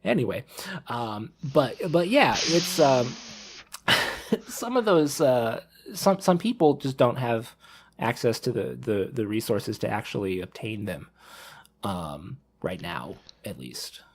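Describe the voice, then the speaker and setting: Male, 30, mid-pitched, casual panelist who circles back and rewords as he goes. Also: big pitch swings, takes it slowly, slightly rough. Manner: rambling, repeats and rephrases himself. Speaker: podcast panelist.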